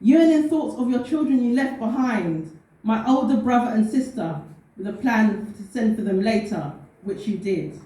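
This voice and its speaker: A woman reading spoken-word poetry as personal storytelling, in a heartfelt, deliberate manner. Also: a little high, lively intonation, dark tone. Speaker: poet. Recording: very echoey room, distant mic, faint noise.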